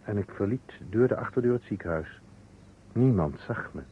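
An actor in an old Dutch radio play playing a weary surgeon, speaking in short, deliberate phrases. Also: male voice, lowish voice, animated, slightly rough. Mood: grave, confessing. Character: weary male surgeon coolly confessing a false alibi. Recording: dull, noisy old radio recording.

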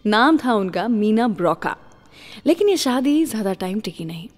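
A woman's voice: on the high side, very animated, normal speed, big-room sound, soft background music. An Indian woman hosting a radio show, telling stories to listeners in a scripted style and mixing in English phrases. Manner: mixes English words and phrases into the talk.

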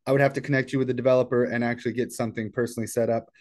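A man presenting an informal step-by-step software tutorial in a casual, explanatory way. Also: mid-range voice, level tone, very fast. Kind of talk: casual screen-share tutorial. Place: indoors at a computer.

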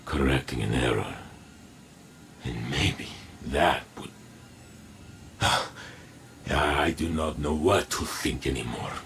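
An actor playing a troubled, self-doubting robot warrior in a video game, halting and formal. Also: male voice, very deep voice, creaky, fairly bright tone, slight background noise, heavily acted. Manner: slow, halting, formal phrasing. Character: troubled, wounded male robot warrior doubting his own worth. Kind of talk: dramatic video game acting.

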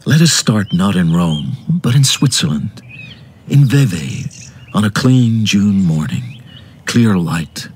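An actor playing a wistful literary author narrating his tale in a radio drama, hushed, measured and poetic. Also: low-pitched, very animated, a little hiss or hum, full character voice. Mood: wistful, reflective.

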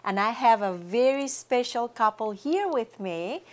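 Woman, TV interview host, slightly high voice, slow unhurried talk, studio set, lapel mic. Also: lively intonation, darkish tone. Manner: unhurried, repeats words, laughs often. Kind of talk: friendly local TV interview. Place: living-room style studio set.

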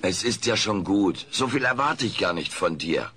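A cocky furry alien comedian, played by a man in an audio drama, low in pitch and swinging freely up and down. He delivers smug wisecracks over heavy cassette noise. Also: lively intonation, moderate pace, lightly acted. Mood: cocky, dismissive. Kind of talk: German audio drama acting.

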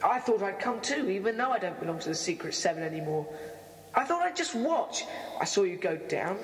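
Plucky British schoolboy, animated, reverberant. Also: female voice, mid-range voice, fairly quick. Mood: eager. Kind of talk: dramatised children's audiobook acting.

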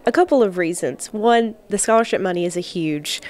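A woman's voice: medium pitch, a little fast, darkish tone. A woman in her twenties, a pageant contestant, answering an interview question in an earnest, open way on a handheld mic. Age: 20s.

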